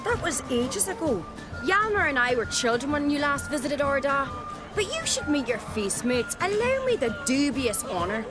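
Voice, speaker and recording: A woman's voice: high voice, sing-song, moderate pace. An actress playing a spirited young noblewoman in a video game, teasing and wry. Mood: playful, wry. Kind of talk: video game cutscene acting. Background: music.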